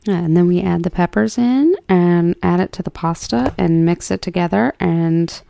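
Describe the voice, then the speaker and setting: Soft-spoken woman, low creaky ASMR voice. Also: moderate pace. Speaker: ASMR host. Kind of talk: soft-spoken ASMR cooking role play.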